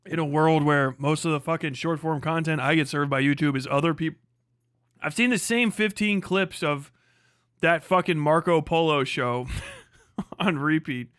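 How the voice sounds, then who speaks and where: A man's voice: on the high side, moderate pace, a bit bright, smooth, dry recording, clean studio sound. A man, perhaps middle-aged, ranting casually on a livestream in a brash, mocking, profane and emphatic way. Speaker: livestream host.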